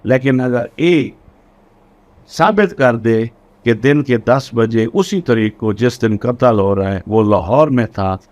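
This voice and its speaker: A man's voice: medium pitch, slow pace, darkish tone. A man with a Pakistani accent giving an explanatory law lecture in a didactic way, repeating and rephrasing key points. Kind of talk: explanatory law lecture mixing Urdu and English.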